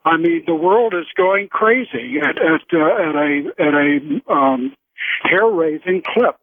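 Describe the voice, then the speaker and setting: A man with a fairly high voice phones in to a talk radio show. He muses casually, with halting pauses. The line is thin and narrow, like an old telephone. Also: animated, no room sound. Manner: musing, halting. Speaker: call-in listener. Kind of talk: casual radio call-in chat. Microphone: phone call.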